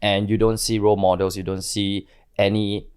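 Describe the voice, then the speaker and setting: Man, late thirties, Singaporean accent, slightly low pitch, candid interview answers, halting delivery. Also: little pitch movement, darkish tone, clear, resonant tone. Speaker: interview guest.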